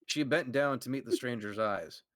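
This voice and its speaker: A man's voice: medium pitch, normal speed, fairly bright tone, no room sound. A man hosting a comedic fanfic reading, narrating and laughing often. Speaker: host and narrator.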